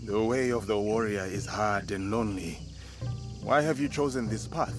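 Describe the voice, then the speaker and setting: Man acting a low-voiced, solemn honourable samurai, music behind. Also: close to an everyday voice. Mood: calm, respectful. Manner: measured, formal, solemn phrasing. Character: honourable, steadfast male samurai swordsman, calm and respectful. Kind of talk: dramatic video game acting.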